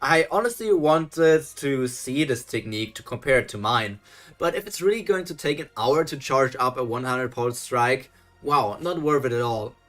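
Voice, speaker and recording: A man reading a story aloud live in a middle-pitched voice, stumbling over words and fixing them as he goes. He often breaks off to comment on the writing, with faint music in the background. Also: animated, moderate pace, fairly bright tone. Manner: reads aloud with frequent self-corrections, breaks off to critique. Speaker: streamer reading a fan story.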